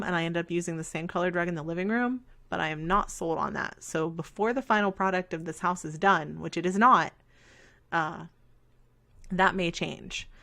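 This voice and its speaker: A woman's voice: fairly low pitch, a bit bright. Scratchy-voiced woman chatting over gameplay. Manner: rambling and self-deprecating, frequent fillers. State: scratchy voice from talking. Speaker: let's play host. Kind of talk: casual chat over gameplay.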